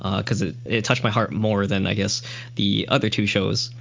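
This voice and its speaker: A man's voice: lowish voice, flat delivery, normal speed, creaky, fairly bright tone, muffled recording. A man hosting a casual podcast, rambling through his thoughts with restarts and filler likes. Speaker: show host reviewing a series.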